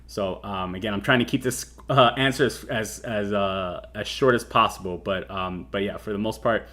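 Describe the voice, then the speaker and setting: A man's voice: lowish voice, moderate pace, sharp tone. A man in his thirties hosting a casual crypto livestream, talking loosely with lots of fillers and restarts. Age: 30s. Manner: rambling with many fillers and restarts. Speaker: crypto show host.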